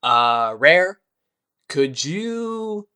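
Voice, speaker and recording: A man's voice: on the high side, very animated, extremely slow, pushed and bright, clean tone, pitched above the performer's own voice, exaggerated voice. A man voicing an easygoing, slightly guarded teenage girl with a casual, hesitant manner in a story reading.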